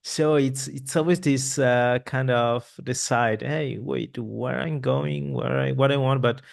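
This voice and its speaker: A man's voice: medium pitch, fairly even intonation, unhurried, creaky. A man, hosting a podcast interview in a loose, rambling way with many restarts and fillers. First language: Italian. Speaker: podcast host. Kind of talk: casual interview chat. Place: home room. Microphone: boom mic with headphones, video call.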